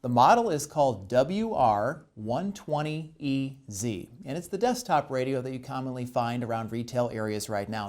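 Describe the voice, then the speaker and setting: A man's voice: medium pitch, a little mellow. An American man presenting an instructional tutorial, explaining each step methodically and stressing the key points.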